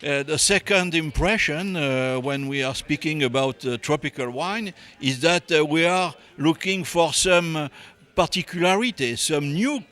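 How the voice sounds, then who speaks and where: A French-accented man speaking English in a slightly higher-than-usual register. He is a professor being interviewed at a conference, and he searches for words with frequent hesitations. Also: unhurried, a bit bright. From France. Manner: hesitant, many fillers. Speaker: viticulture professor.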